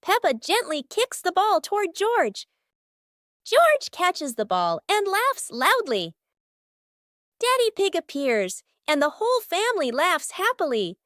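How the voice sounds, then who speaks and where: A woman's voice: very high-pitched, wildly swooping pitch, a little slow, a bit bright, no room sound, studio quality. A woman reading scripted children's story narration in short, simple storybook sentences.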